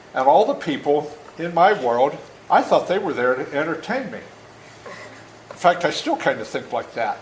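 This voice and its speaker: A man in his seventies talking and reading aloud to children as an author, plain-spoken and deliberate, often checking in with questions. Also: a little high. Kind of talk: storytelling talk and read-aloud to children. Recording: echoey room with faint noise.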